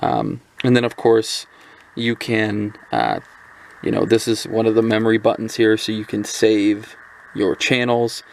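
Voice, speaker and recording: Man, low voice, gravelly fry, casual rambling product review, frequent ums. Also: a little slow, a little mellow, a little hiss or hum. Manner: loose and rambling, many ums and restarts.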